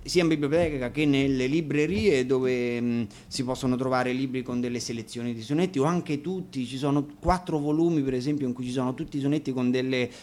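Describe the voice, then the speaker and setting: A man casually introducing songs as a guest musician, explaining things with hesitant pauses. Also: medium pitch, darkish tone, slight background noise. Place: radio studio.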